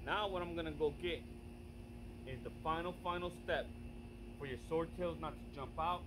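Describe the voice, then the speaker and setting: A man's voice: a little high, moderate pace, background noise. Chatty man filming a backyard how-to. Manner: relaxed, chatty, addresses viewers directly. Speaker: fish breeder vlogger. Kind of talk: casual how-to vlog. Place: outdoors in a backyard. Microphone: far camera on a tripod.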